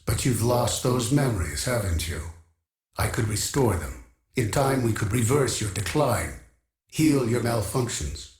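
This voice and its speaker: An actor playing an imperious artificial intelligence archivist in a video game, speaking in a formal, pompous, deliberate way, obsessed with knowledge. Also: male voice, mid-range voice, echoey room, natural voice. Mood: coaxing, condescending. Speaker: quest-giving game character. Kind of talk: scripted video game voice acting.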